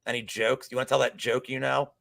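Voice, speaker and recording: Gravelly forty-something podcast host. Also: male voice, mid-range voice, flat delivery, fast, fairly bright tone. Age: 40s. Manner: joking, loose and rambling. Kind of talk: casual betting podcast chat.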